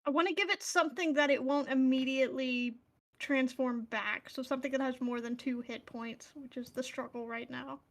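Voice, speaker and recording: A woman's voice: sits high, steady tone, normal speed, a bit bright. A woman playing a tabletop fantasy game, chatting casually and thinking aloud.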